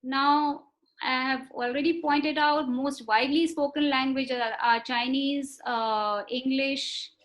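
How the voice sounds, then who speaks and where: A woman giving a webinar lecture over a video call. She talks in a measured way, often repeating words for emphasis and pausing on fillers. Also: sits high, fairly even intonation, a bit bright. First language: not Marathi. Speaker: webinar speaker on foreign languages. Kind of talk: explanatory lecture.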